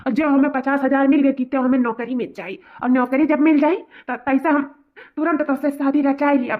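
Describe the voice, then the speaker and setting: A woman's voice: high-pitched, steady tone, moderate pace, natural voice. A woman voicing a melodramatic, wheedling young male lover in a cartoon comedy, repeating phrases with an eastern Uttar Pradesh accent. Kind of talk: cartoon family comedy acting. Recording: tinny, phone-like narrow audio.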